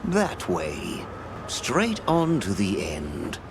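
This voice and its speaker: A man voicing a cryptic, unhurried sage guide in a video game cutscene, speaking in slow, formal riddles. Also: low-pitched, wildly swooping pitch, heavily acted. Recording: loud ambient game noise.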